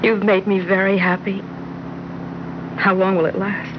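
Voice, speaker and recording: A woman's voice: medium pitch, flat delivery, a little slow, close to an everyday voice. An actress playing a lovestruck, tender, anxious married woman in an affair, in old radio-drama style. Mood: wistful, unsure. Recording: muffled old radio recording, background noise.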